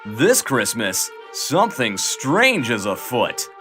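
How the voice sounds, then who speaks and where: A man's voice: medium pitch, huge pitch swings, slow, music in the background. A man voicing a dramatic, portentous trailer announcer in scripted comedy narration.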